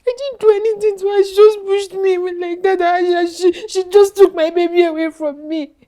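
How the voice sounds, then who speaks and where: A woman's voice: extremely high pitch, steady tone, normal speed, dark tone. A woman playing a grieving mother, crying and accusing her husband. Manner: sobbing, accusing. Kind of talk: melodrama acting.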